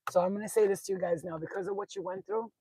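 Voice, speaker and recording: A woman from Albania with a husky, rough voice, talking informally as an online spiritual card reader, blunt and profane, repeating herself for emphasis. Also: medium pitch, sing-song, racing, mellow, dry recording. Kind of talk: informal, unscripted talk to followers.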